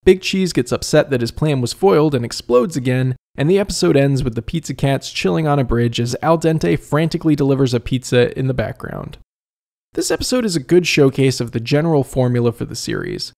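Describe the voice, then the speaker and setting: A man's voice: medium pitch, expressive, fairly quick, vocal fry, a little mellow. A man narrating a scripted review as a video host, conversational with wry asides.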